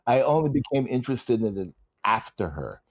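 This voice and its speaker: A man as the guest on a casual interview podcast, relaxed, laughing easily and trailing off at times. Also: medium pitch, moderate pace, husky, no room sound. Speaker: guest knifemaker.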